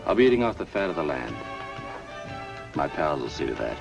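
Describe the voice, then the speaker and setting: An actor playing a stubborn, cocky young convict in a 1950s film noir. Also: male voice, rumbling low, sing-song, brisk, creaky, exaggerated voice. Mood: stubbornly confident. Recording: distant old film soundtrack, music behind.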